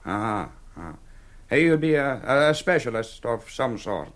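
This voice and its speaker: An actor playing an older, hospitable but probing Scottish island minister in a radio drama, speaking with courteous hesitations. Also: male voice, medium pitch, sing-song, unhurried. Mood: probing, genial.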